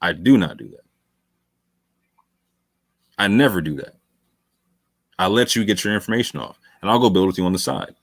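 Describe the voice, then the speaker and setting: Emphatic low-voiced male stream host. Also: animated, moderate pace, pauses often, no room sound, studio quality. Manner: emphatic, repeats phrases, calls listeners "fam". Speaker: host of a debate talk show. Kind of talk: late-night livestream commentary.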